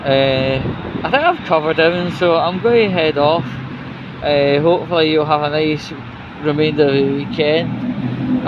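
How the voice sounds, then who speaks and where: A British man giving a casual, rambling, sweary vlog rant to viewers in an indignant, sarcastic manner. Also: a little high. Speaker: vlogger. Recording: dull lo-fi recording with loud outdoor traffic noise.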